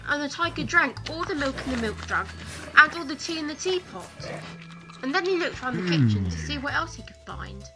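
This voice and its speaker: A woman reading a children's bedtime story expressively, in deliberate short phrases. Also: sits high, huge pitch swings. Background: music.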